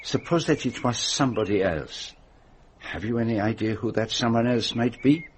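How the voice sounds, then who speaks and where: An actor playing a courteous, methodical detective in a radio drama, with a French-speaking Belgian accent and formal, probing speech. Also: male voice, medium pitch, moderate pace, slight background noise, close to an everyday voice. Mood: gently probing.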